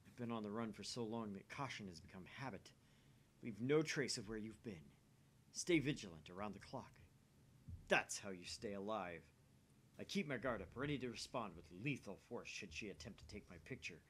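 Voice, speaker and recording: A man's voice: on the low side, animated, moderate pace, fairly bright tone, a little hiss or hum. A man voicing a paranoid, grandiose young mad scientist, reading a visual novel aloud in a pompous, theatrical manner. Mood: pompous, grave.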